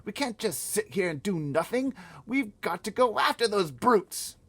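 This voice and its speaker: Storybook figure: a brave indignant little girl, shrill and urgent, voiced by a male reader. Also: expressive, moderate pace, creaky, no echo, full character voice. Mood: pleading. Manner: shrill, pleading, loud.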